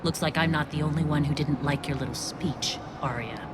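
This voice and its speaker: A woman's voice: deep voice, steady tone, fairly quick, heavy background noise, natural voice. A woman playing a principled, protective alien militia leader in a video game, firm, terse and wary. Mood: disapproving. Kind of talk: cinematic video game acting.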